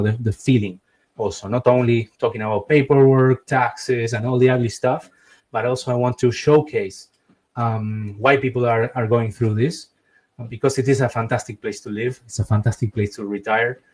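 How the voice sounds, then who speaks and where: A middle-aged man from Argentina talks in English with a Spanish accent, closing out an online webinar. He sounds earnest and keeps repeating key words for emphasis, in an ordinary mid-pitched voice. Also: steady tone, moderate pace, darkish tone. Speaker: webinar host and relocation advisor.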